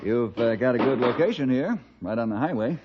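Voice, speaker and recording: An actor playing a friendly, steady forest ranger hero with an American accent and a measured, courteous manner in a 1960s radio drama. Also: male voice, medium pitch, lightly acted. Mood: calm, friendly. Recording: dull, noisy old radio recording.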